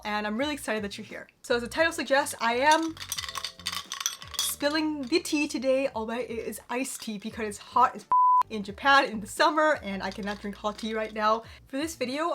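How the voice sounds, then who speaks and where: A woman in her twenties speaks with an American accent in a somewhat high voice. She talks casually to her viewers as a vlogger answering personal questions. Her way of talking is candid and chatty, with a touch of self-deprecating humour. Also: fairly bright tone, slight background noise. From United States, Chicago area. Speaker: vlogger, channel host. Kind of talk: casual personal Q&A talk to camera.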